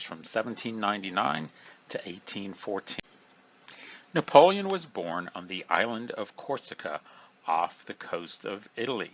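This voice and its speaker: Lively, bright-voiced male history teacher. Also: fairly low pitch, dull audio. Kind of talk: recorded review lesson.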